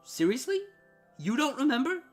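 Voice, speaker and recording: Irritable, haughty cartoon siren, female actor, biting sarcasm, exasperated and very animated. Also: sits high, moderate pace, soft background music. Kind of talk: storybook fan fiction reading.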